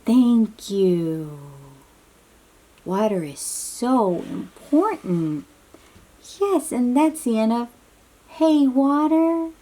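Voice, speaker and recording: A woman with a soft, breathy voice reads a picture book aloud to young children, gently explaining and chatting to them as she goes. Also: a little high, animated, slight background noise. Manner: slow, child-directed, encouraging questions and affirmations. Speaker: read-aloud storybook host.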